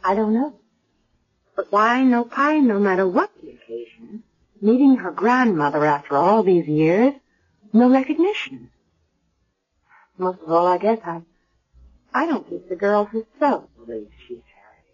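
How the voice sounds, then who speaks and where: A woman's voice: medium pitch, big pitch swings, measured pace, long pauses. A thoughtful, earnest woman voices doubts in a reflective way. It is 1970s radio drama acting, on a dull vintage recording. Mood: doubtful. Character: female hospital colleague, doubtful, thoughtful, earnest.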